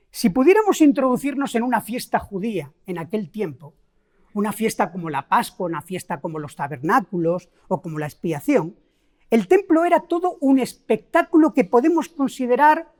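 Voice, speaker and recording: Middle-aged female preacher from Spain, Spain Spanish accent, fairly high voice, lively emphatic delivery. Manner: animated, rhetorical questions to the congregation; emphatic, slows down and stresses key words. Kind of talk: sermon.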